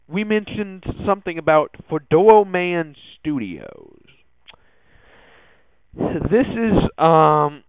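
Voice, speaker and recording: A man hosting a podcast in a casual, hesitant way, often halting and repeating his words. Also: medium pitch, clear, resonant tone, no room sound. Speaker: podcast host. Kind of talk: casual solo tech podcast talk. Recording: muffled, phone-like narrow-band audio.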